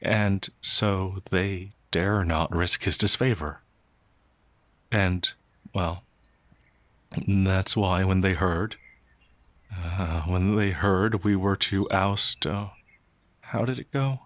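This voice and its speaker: A man playing a gentle, anxious werewolf outlaw in a fantasy ASMR roleplay, hesitant, stammering and apologetic. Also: low-pitched, steady tone, takes it slowly, pauses often, no echo. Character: gentle, timid, anxious male werewolf outlaw, easily frightened.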